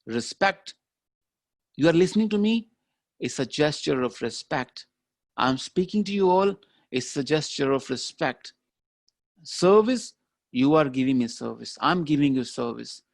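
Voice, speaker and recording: A man's voice: fairly high pitch, lively intonation, unhurried, gravelly, pauses often, no room sound, clean studio sound. A man giving a motivational keynote speech, talking emphatically and repeating his key phrases. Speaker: keynote speaker.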